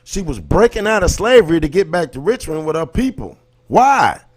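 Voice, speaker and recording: A man's voice: a little high, big pitch swings, normal speed. A man with an American accent hosting a livestream, giving impassioned, colloquial commentary and punctuating his points with rhetorical questions. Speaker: livestream host. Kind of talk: impassioned casual commentary, talking to live viewers.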